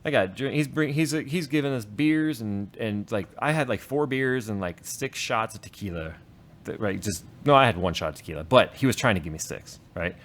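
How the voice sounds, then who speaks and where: A man's voice: fairly low pitch, normal speed. A man hosting a casual stream, telling comic stories with some swearing and acted-out dialogue. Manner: animated, profane, acts out dialogue. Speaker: tech YouTuber, stream host. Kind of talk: casual chat, comic storytelling.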